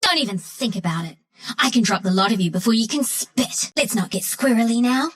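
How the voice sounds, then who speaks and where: A woman's voice: fairly high pitch, expressive, fairly quick, breathy edge. A woman voicing a cheeky, scrappy young squirrel-like bandit heroine in a video game, with a brash, playful, slangy way of talking. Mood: cheeky menace. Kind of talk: video game character voice lines.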